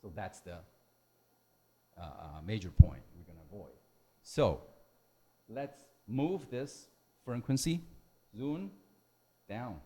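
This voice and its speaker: A man who is a non-native English speaker giving a technical lecture in halting, simple phrases. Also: mid-range voice, lively intonation, mellow. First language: not English. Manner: halting, hesitant delivery with simple broken phrasing. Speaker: technical presenter at a symposium.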